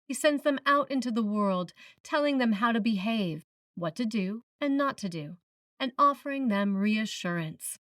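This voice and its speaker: A woman preacher reading a written sermon in a measured, reflective manner, putting questions to the listener. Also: a little high, expressive, fairly bright tone, no echo, clean studio sound, recorded from a distance. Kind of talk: sermon, read from a script.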